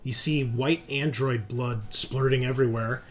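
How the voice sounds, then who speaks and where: A man's voice: medium pitch, steady tone, a little slow. A man acting as game master, narrating and explaining rules in an informal, sweary way. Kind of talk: casual tabletop game narration and rules talk. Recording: faint music behind. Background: faint music.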